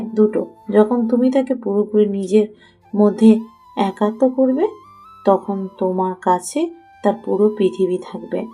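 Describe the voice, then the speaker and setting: A woman's voice: medium pitch, dark tone. A woman acting a bossy, sly young woman who coaches her friend in an audio story, persuasive and assured.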